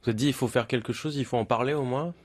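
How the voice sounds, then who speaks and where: A man's voice: mid-range voice, racing, no room sound, studio quality. A man hosting a morning show, introducing his guest and asking her questions. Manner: friendly, leading questions. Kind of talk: radio and TV interview.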